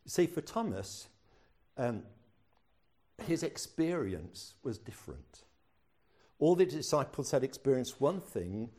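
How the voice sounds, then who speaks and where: A man's voice: medium pitch, dark tone. An older man, a pastor preaching a sermon in a chatty storytelling way with self-deprecating humor.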